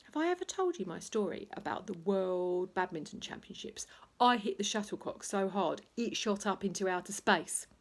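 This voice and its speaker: A woman's voice: medium pitch, expressive, moderate pace. A woman voicing a boastful, gluttonous big male sports teacher in a pompous, blustering way for a storybook.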